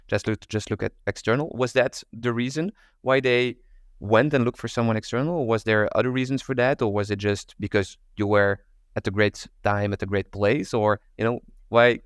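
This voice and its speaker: A man with a low, smooth voice asks his questions haltingly, padding them with fillers as he works through his thoughts. The recording is dry and close, with no room echo around his voice. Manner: hesitant, thinking aloud with fillers. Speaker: podcast host. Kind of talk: interview questions.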